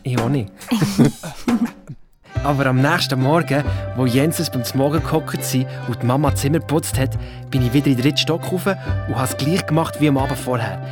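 A man's voice: mid-range voice, normal speed, over music, close to an everyday voice. An actor playing an impulsive, cheeky young boy with a Swiss German accent, telling his adventure in an audio drama. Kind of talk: colloquial first-person storytelling in an audio drama.

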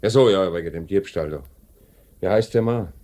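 Deep-voiced, deliberate Swiss company director played by a man. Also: warm tone, close to an everyday voice. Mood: businesslike. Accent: Swiss German. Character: lenient, hesitant company director, middle-aged man in charge. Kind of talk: 1950s radio play acting.

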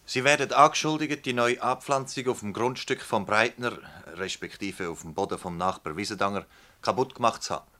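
A man's voice: fairly low pitch, a little fast, pushed and bright. A man acting an insistent, dry public prosecutor in a Swiss German dialect radio drama, questioning formally and pointedly. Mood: formal, firm.

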